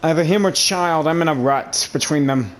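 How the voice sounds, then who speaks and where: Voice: medium pitch, normal speed. A gamer giving casual commentary over a playthrough, sometimes reading dialogue aloud.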